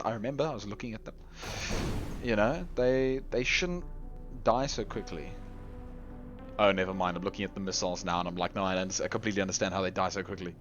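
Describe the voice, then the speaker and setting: A hoarse man rambles through live game commentary, his pitch swinging up and down as he talks. The recording is dull and lo-fi, with faint music underneath. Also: fairly bright tone. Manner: chatty, rambling, lots of restarts and laughs. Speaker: tournament commentator.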